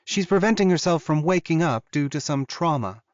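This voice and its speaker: A man voicing a caring, maternal female werewolf doctor in her mid-forties, explaining things in a measured audiobook style. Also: a little high, animated, no room sound, lo-fi sound, pitched above the performer's own voice, natural voice. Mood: concerned, thoughtful. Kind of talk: audiobook character dialogue.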